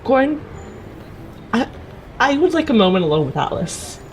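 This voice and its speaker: A woman improvising in character in a tabletop game, firm and deliberate, sometimes halting. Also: a little high, big pitch swings, slight background noise. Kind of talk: improvised in-character role-play. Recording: music playing under the voice.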